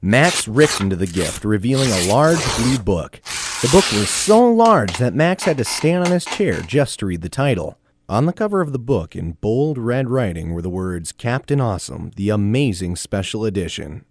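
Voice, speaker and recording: A man's voice: mid-range voice, big pitch swings, normal speed, noisy background. A man narrating a scripted audio drama like a storybook, in a theatrical, playful manner.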